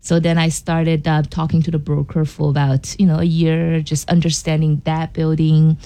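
Low gravelly woman, relaxed explaining. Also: normal speed. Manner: explanatory, frequent "you know" fillers. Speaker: guest, inn and theater owner. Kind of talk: casual radio interview.

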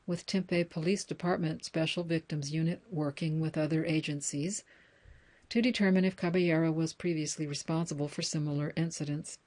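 An American woman reading news articles and book excerpts aloud as a reader, in a measured, plain announcer-like way. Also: sits low, a little mellow.

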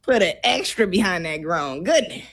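A woman's voice: fairly low pitch, very animated, fairly bright tone, breathy edge. A young woman co-hosting a radio show, playful and teasing in casual banter. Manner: playful, teasing, quick to laugh. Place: radio studio.

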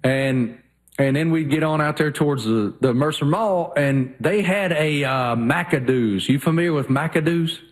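A man with a Southwest Virginia accent, telling rambling folksy stories as co-host in a casual chat. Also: on the high side. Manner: folksy, drawn-out storytelling.

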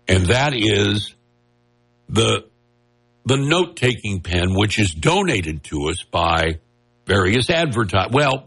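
A man's voice: lowish voice, a bit bright, slightly rough. A man hosting a radio talk show, chatting casually in a nasal, joking, rambling way. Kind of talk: casual on-air chat. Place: radio studio. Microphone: studio mic with headphones.

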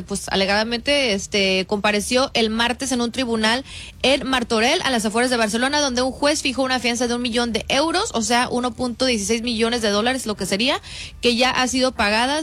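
A woman's voice: fairly high pitch, a bit bright. A woman co-hosting a radio show, reporting news in a conversational style. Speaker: radio co-host.

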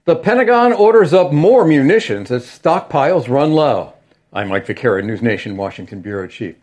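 A man filing a news report as a correspondent, with a measured, formal delivery. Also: mid-range voice, lively intonation, a little mellow, soft background music.

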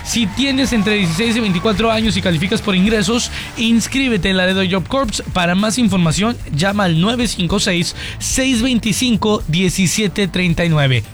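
Young radio host guy, high voice, jokey banter over light music. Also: moderate pace, few pauses, a bit bright. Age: 20s. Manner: playful, joking, reads ads aloud.

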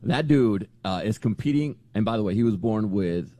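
A man on a morning radio show whose voice sits low and is wearing thin. He tells his stories with eager, upbeat energy. The studio sound is dry and close. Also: animated, normal speed, dark tone, no echo. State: losing his voice.

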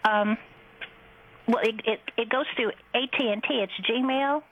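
An older woman calling in to a radio tech show, speaking hesitantly with many pauses and restarts. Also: on the high side, fairly even intonation, phone-quality sound. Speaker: caller. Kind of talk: phone-in call to a radio show.

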